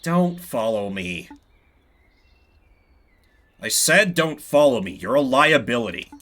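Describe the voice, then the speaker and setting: A man voicing a gruff, impatient lone mouse warrior in a video game, terse and dismissive. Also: mid-range voice, big pitch swings, takes it slowly, bright, forceful tone, heavily acted. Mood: curt, dismissive.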